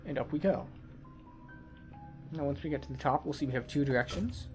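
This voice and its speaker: A man's voice: on the high side, brisk, a little mellow, soft background music. A man giving video game walkthrough commentary as a tour guide, instructional and matter-of-fact.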